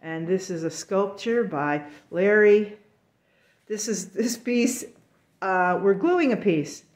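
Slow-talking woman touring a gallery. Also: mid-range voice, animated, darkish tone. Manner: chatty, enthusiastic, unhurried. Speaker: artist and show organizer. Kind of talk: casual walkthrough tour of a gallery show. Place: gallery in an old brick factory building.

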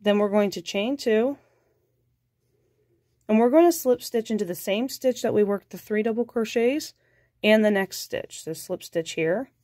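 Woman, stuffy and nasal from a cold, patient crochet teacher, mid pitch, dry close sound. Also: unhurried, no room sound. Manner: calm, patient, counts stitches aloud. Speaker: crochet instructor. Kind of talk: step-by-step craft tutorial.

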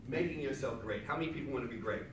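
A man giving a motivational seminar talk to a room of people, loud and emphatic, repeating his key lines back to the crowd. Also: mid-range voice, racing. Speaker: seminar speaker. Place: hotel conference room with seated audience. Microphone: far camera at back of room, no microphone. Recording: echoey room from far off with audience noise.

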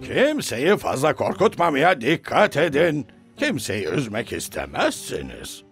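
A man's voice: mid-range voice, huge pitch swings, a little slow, a bit bright, soft background music, full character voice. A man voicing a boastful old mustached hot air balloon in a dubbed cartoon. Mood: sheepish. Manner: boastful, shrieking, gravelly.

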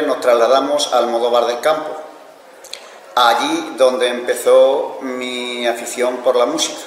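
An older man from Spain, seated indoors, recalling his life and music in an unhurried, plain way with small restarts. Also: mid-range voice. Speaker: interviewee, amateur musician. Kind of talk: casual recollection to camera. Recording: echoey room with faint noise.

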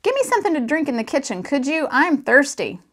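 A woman's voice: high voice, huge pitch swings, brisk, voice pushed up. A woman voicing a distracted, demanding father in a children's story, calling out offhand requests.

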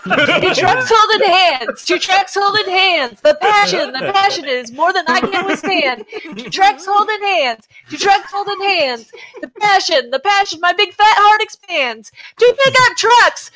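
Woman, extremely high pitch, forceful bright tone, theatrical comic lyric reading. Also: poor audio. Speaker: podcast panelist reading lyrics. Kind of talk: comic dramatic recitation of song lyrics. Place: live group podcast stream.

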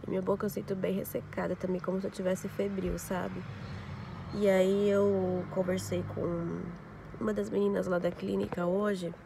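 A young woman vlogging casually to her phone camera, congested with a flu and a scratchy throat, explaining her skin recovery slowly. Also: mid-range voice, flat delivery, gravelly. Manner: talks slowly through skin recovery, showing details. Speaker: beauty vlogger. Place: inside a parked car, later a home sofa. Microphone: phone camera at arm's length.

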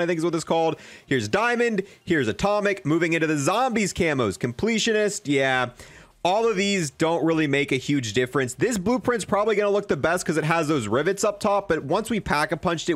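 A man's voice: fairly high pitch, no echo. A young man giving casual, enthusiastic, slangy commentary as he reviews a video game. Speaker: gaming video creator.

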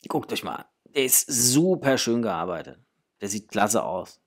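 A middle-aged man with a husky voice, chatting casually and enthusiastically to viewers as he hosts an unboxing, sometimes muttering to himself. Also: mid-range voice, animated, measured pace. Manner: enthusiastic, exclamatory, muttering to himself while handling things. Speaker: host of a toy unboxing channel. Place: small indoor hobby room.

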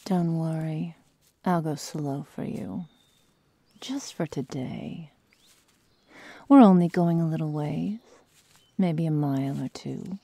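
Woman acting a sadistic werewolf girl, low-pitched, very mellow breathy murmur, mock-tender menace, ASMR roleplay. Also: slow, long pauses, dry recording, full character voice. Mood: falsely tender. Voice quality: breathy, often whispered. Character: sadistic young female werewolf, coldly teasing and menacing. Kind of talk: ASMR roleplay, whispered and close. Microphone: close microphone, dry sound.

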